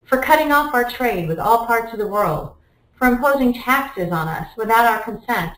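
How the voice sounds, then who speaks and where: Female reader, medium pitch, formal recitation, roomy and distant. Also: animated, moderate pace, a bit bright. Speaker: volunteer reader. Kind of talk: formal read-aloud of a historical document. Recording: echoey room, distant microphone.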